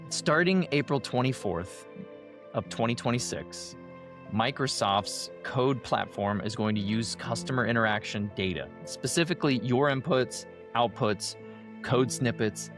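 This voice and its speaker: A man hosting a podcast, delivering a scripted monologue while sick and losing his voice, measured and emphatic. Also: medium pitch. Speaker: podcast host.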